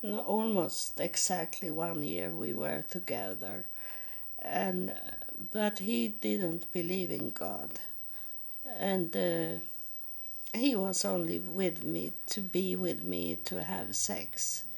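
A woman whose first language is Swedish, speaking English in a halting personal monologue and often searching for words. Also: fairly low pitch, expressive, creaky, a little mellow, slightly rough. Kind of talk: slow personal spiritual monologue.

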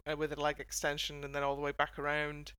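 Woman podcast host, bright smooth voice, dry close sound, wry and chatty. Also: sits low, monotone, fast talker, no room sound. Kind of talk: casual discussion of a TV dance show.

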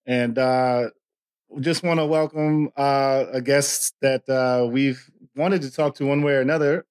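A man hosts a podcast over a video call. His pitch sits in a middle range, and he talks in a relaxed, halting way, full of ums and restarts. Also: clear, resonant tone. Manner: halting, many fillers and restarts. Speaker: podcast host. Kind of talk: casual video-call interview.

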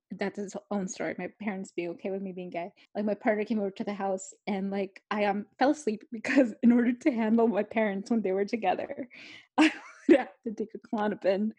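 Breathy woman, rambling and candid. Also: mid-range voice, darkish tone. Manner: rambling, many "like" fillers, laughing asides. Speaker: author. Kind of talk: candid conversational talk.

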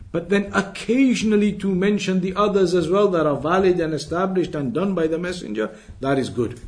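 A man giving a religious lesson as a teacher, reading from a text and explaining it, restating his points in a didactic way. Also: on the high side. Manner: didactic, repeats and rephrases points.

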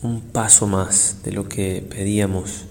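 Deep, slow male priest in reverberant space. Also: little pitch movement, gravelly, darkish tone. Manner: measured, reverent, with long pauses. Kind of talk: guided prayer meditation, slow and solemn. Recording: long hall reverb, faint background noise.

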